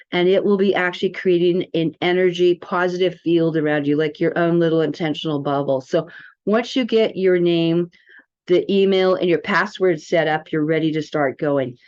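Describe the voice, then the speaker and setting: A woman's voice: on the low side, darkish tone. Chatty woman, dull lo-fi sound. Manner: chatty, informal, rambling. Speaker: presenter demonstrating a wellness app. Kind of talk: casual product tutorial. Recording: dull, lo-fi audio.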